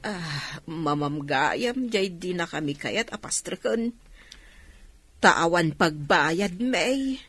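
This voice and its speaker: A woman's voice: lowish voice, animated, slow, a bit bright, breathy edge, no room sound, exaggerated voice. An actress playing a worried poor mother, plaintive and beseeching, in an Ilocano radio drama. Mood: distressed, pleading. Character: pleading, worried poor mother of a sick child.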